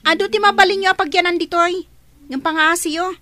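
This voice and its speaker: A woman's voice: very high voice, steady tone, fast talker, sharp tone, dry recording. A woman playing a spoiled, bossy teenage rich girl in a radio drama, snappish and whining, with an Ilocano Filipino accent.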